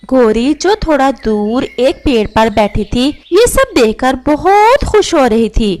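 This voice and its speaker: A woman's voice: high-pitched, very animated, slow pace, a little mellow. A woman narrator reading a scripted story for children.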